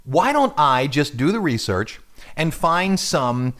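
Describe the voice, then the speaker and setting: A man's voice: fairly high pitch, big pitch swings, slow pace, fairly bright tone. A man hosting a radio music show in casual chat, joking and rambling with self-deprecating asides.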